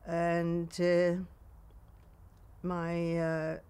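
A woman in her nineties with a Polish accent, recounting memories in a halting way in a sunny garden. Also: lowish voice, completely flat delivery, dark tone, clear, resonant tone. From Poland. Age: 90s. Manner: halting, with frequent pauses and restarts. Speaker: interviewee.

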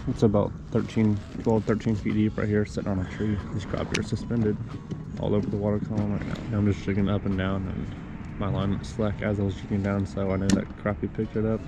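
Clear outdoor noise surrounds a man with a fairly low voice. He hosts a fishing video, commenting casually on the spot out on the ice, picked up by a body-worn action camera. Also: level tone, fast. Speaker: host of a fishing channel. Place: outdoors on a frozen lake while ice fishing.

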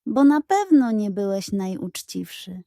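A woman voicing a stern, upright male horse sheriff in a children's Western story, grave and measured. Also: medium pitch, sing-song, vocal fry, mellow, no room sound, studio quality. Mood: accusing.

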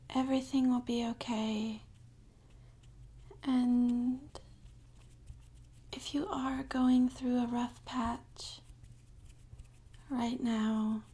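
A woman speaking softly and half-whispering in a gentle, soothing, unhurried way, as an ASMR guide leading a sleep meditation. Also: a little high. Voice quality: soft, breathy, half-whispered.

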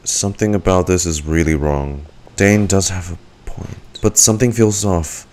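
Low-voiced young man reacting to a game. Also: normal speed, a little mellow. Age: young adult. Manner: exclamatory, informal, swearing. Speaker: game streamer. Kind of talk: live gameplay reaction commentary. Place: indoor room at home. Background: game music.

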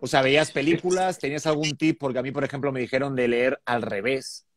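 Spanish male host on a live stream, ordinary mid pitch, chatty, relays the chat. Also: steady tone, fast talker, no room sound. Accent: Spain Spanish. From Spain. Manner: chatty, relays viewer comments. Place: indoor room with colored lights. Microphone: studio mic on stand.

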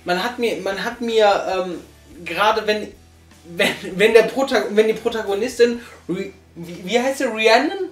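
A reviewer hosting a casual couch chat, talking haltingly with many restarts and tag questions. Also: medium pitch. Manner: halting, restarts a lot, tags remarks with "ne?". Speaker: reviewer, host. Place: small living room, on a couch. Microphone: far camera mic. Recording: roomy, distant mic, faint music.

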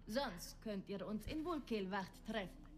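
A woman voicing a friendly, sociable female sailor in a dubbed video game, speaking in a warm, hearty manner. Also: medium pitch, lively intonation, measured pace, close to an everyday voice. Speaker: game character.

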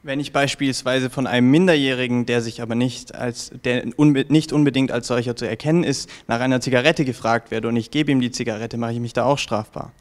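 Young man hosting, mid-pitched voice. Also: fairly even intonation, a little fast, hardly any pauses. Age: young adult. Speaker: TV show host, interviewer. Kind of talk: scripted interview questions and presenting. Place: small indoor information room with bookshelves. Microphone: handheld reporter microphone.

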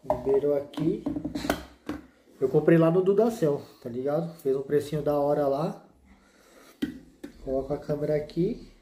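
A man's voice: on the high side, normal speed, pauses often, dark tone, slight background noise. A man in his thirties, casually showing his motovlog gear in a small indoor room, laid-back and full of slang fillers. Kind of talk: casual slangy show-and-tell vlog. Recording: echoey room.

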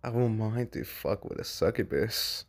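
A performer voicing a brash, teasing male imp in an amateur comic dub, with cocky, drawn-out taunts. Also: mid-range voice, animated. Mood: flirty.

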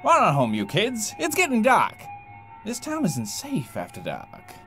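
A creepy ice cream man, acted by a male narrator, pitched high and swinging wildly up and down. He coaxes children with singsong, fretful concern, like a visual novel villain, over background music. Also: wildly swooping pitch, a little slow, bright, forceful tone, heavily acted. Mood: coaxing warning.

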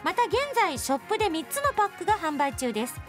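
Lively high-voiced woman radio host. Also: fast talker. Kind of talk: casual chat and scripted announcements. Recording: music behind the voice.

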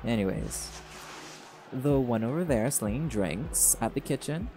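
A man's voice: medium pitch, expressive, a little slow. A man voicing a chill, cocky male jaguar shifter who is a pack alpha, talking in a teasing, laid-back way. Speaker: audio roleplay performer. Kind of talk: scripted audio roleplay monologue. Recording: music playing behind. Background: party music.

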